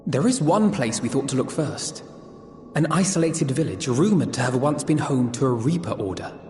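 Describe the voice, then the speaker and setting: An actor playing an earnest military officer in a fantasy game, speaking formally and in measured, articulate phrases. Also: male voice, medium pitch, animated, warm tone, lightly acted. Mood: grave, earnest. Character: earnest male military officer briefing allies. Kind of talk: fantasy video game dialogue. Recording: roomy echo, music behind.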